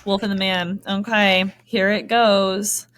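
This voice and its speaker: Female podcast co-host, mid-pitched, irreverent and foul-mouthed, bantering casually and reading stories. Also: little pitch movement, slow, fairly bright tone, slight background noise. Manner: irreverent, swears freely.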